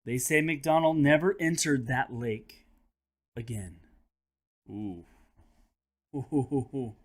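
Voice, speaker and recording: A man hosting a children's read-aloud, telling the story in a dramatic, expressive way with chatty asides. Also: medium pitch, slow. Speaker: host and teacher reading aloud to children.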